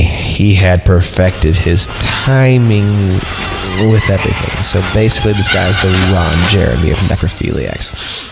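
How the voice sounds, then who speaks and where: A man with a low, gravelly voice reads a story aloud and keeps stopping to riff on it with loose, irreverent jokes. Music plays clearly behind him throughout. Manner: rambling, irreverent, joking commentary. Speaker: podcast host. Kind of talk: comedic story reading with asides.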